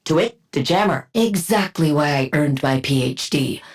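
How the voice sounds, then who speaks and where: A brilliant female scientist turned squad antihero for a video game. Her voice sits a little low and moves through a very animated range. She delivers combat callouts with clinical precision and a dry, bookish wit. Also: normal speed. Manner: clinical, deadpan, wryly bookish.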